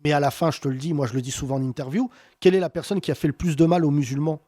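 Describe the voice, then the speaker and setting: A man hosting a heated political debate, talking with passion and emphasis and wide swings in pitch. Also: steady tone, very fast, dark tone, no echo, studio quality. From France. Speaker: host.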